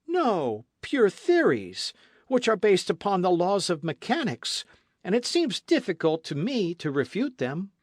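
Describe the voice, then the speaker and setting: A bold, playful adventurer, put on by a male audiobook reader speaks in a high, loud, sing-song voice. He sounds supremely confident, almost teasing. The sound is dry and close. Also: wildly swooping pitch, a little slow, no room sound, full character voice. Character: bold, witty adult Frenchman adventurer. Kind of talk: audiobook character reading.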